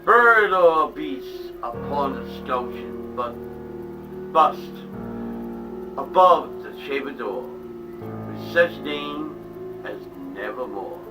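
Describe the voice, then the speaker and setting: A man giving a theatrical, dramatic reading of a poem, dropping to hushed tones and sometimes stumbling and restarting. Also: medium pitch, animated, drawn-out words, pauses often. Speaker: reader of a poem. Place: small home museum room. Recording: distant mic with eerie music behind.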